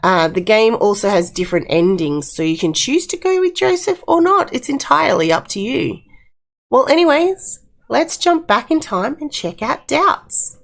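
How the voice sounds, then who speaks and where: A woman with a slightly croaky voice giving casual, chatty commentary as she reviews and plays video games. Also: a little high, sing-song, normal speed. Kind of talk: casual game review and let's-play commentary. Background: faint room ambience, wind at windows.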